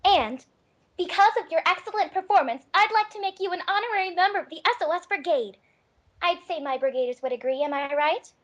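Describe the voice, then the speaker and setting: A woman's voice: very high voice, expressive, brisk, highs cut off. A woman voicing a perky, bossy anime schoolgirl club leader with a commanding, self-assured manner. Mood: cheerful, proud. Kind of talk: anime fandub character message.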